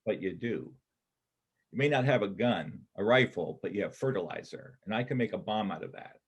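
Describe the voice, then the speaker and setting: A man plays a guarded ex-soldier with a husky, lowish voice. He talks casually and wryly, in the natural manner of a staged script reading. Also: animated, brisk. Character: male war-survivor soldier, guarded, wry drifter. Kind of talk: church reader's theater acting.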